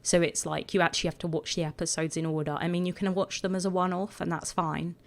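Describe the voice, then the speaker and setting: A woman with a croaky voice, chatting casually and rambling a bit as she talks over her video. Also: lowish voice, level tone, fast talker. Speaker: gaming video creator.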